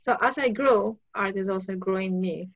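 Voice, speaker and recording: A woman's voice: medium pitch, normal speed, no echo, dull audio. A young woman from Afghanistan, an artist, speaking in a video-call interview with plain, searching phrasing. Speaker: artist guest.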